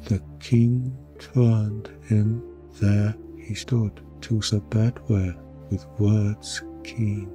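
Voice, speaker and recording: A man's voice: fairly low pitch, flat delivery, drawn-out words, music in the background. A man playing a frail, dying duke in an audio drama, courteous, grave and intent on his quest. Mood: reciting solemnly.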